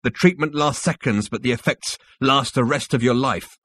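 A man playing an earnest galactic conspirator, pitched up. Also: lively intonation, fairly quick, no room sound, natural voice. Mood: urgent, grave. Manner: emphatic, explanatory, insistent. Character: Rooster, earnest, urgent male galactic conspirator. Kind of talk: audiobook character dialogue.

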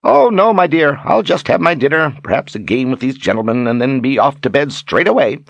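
Sly boastful old wizard, voice pitched way up, gravelly, folksy and grand. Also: male voice, animated, brisk, no room sound, dull audio. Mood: jovial, grandiose. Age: older. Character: theatrical, boastful, sly old wizard posing as fortune-teller. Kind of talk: audiobook character acting.

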